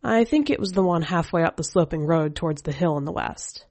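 A woman's voice: lowish voice, lively intonation, brisk, a little mellow, no echo. A woman voicing a jaded, prickly female skeleton spirit in a short story, brusque and dismissive. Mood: brusque, casual. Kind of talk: audiobook-style short story character voice.

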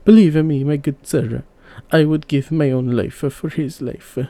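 A man with a gravelly voice voicing an impulsive storybook king in a raised, emphatic tone. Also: fairly high pitch, steady tone, normal speed, mellow, voice pushed up, lightly acted. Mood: earnest.

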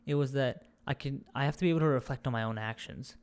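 A man's voice: mid-range voice, fast talker, a little mellow, clear, resonant tone. A young man from India with a mixed British, Australian and American accent, speaking as a podcast guest in a candid, reflective way. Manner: reflective, candid, searching for words. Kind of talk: casual conversational interview.

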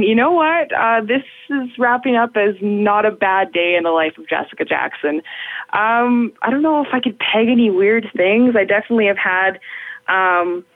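A young woman in radio with a deeper voice, chatting casually as an interview guest and laughing often. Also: no room sound. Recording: tinny phone line.

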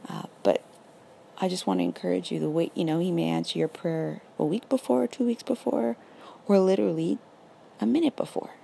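A raspy young woman hosting a casual faith podcast, earnest and encouraging, full of "like" and "literally". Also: lowish voice, a little fast, dark tone, slight background noise. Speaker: podcast host.

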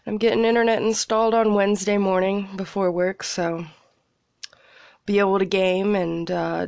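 A woman's voice: medium pitch, no echo, clean studio sound. A young woman with a congested cold, rambling casually as a podcast host from a small closet, laughing and swearing freely. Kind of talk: casual solo chat.

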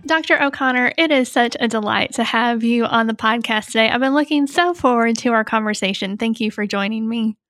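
High-voiced American woman hosting a podcast. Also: fairly quick, hardly any pauses, fairly bright tone, dry recording, soft background music. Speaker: podcast host. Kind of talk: scripted introduction and interview hosting.

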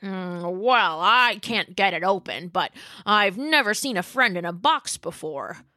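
Woman doing a lazy low drawl dino dude, curious, takes his time. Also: lively intonation, measured pace, bright, forceful tone, dry recording. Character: curious young male pachycephalosaurus, easygoing.

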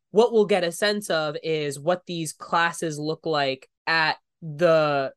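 A man's voice: fairly high pitch, a bit bright, no room sound, studio quality. A man co-hosting a tabletop gaming podcast, congested and a little nasal, explaining his views in a thoughtful, casual way. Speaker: podcast co-host. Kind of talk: casual chat.

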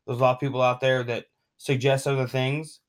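A man hosting a casual sports podcast, talking excitedly and gushing about his predictions, often restarting his sentences. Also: mid-range voice, brisk, recorded from a distance. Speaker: podcast host.

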